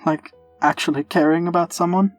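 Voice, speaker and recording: An actor playing a reserved, clever young man in an audio drama, gently earnest, quiet and measured. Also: a little high, fairly even intonation, dry recording, faint music behind, natural voice. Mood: gentle, earnest.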